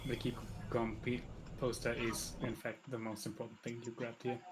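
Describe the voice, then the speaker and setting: A man with a medium-pitched voice chats casually over gameplay. He teases with dry irony and phrases things haltingly. There is clear noise on the recording. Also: little pitch movement.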